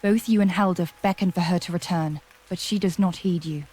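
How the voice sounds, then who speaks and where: A woman's voice: fairly low pitch, fairly even intonation, brisk, mellow, husky. A woman giving dramatic, scripted narration for a fantasy audio adventure.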